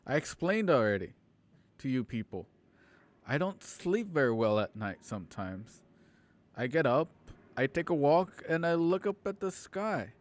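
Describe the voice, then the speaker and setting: A man's voice: a little high, very animated, unhurried. A man playing a defensive suburban husband standing his ground in a radio drama, speaking firmly. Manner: firm, defensive. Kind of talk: radio play acting.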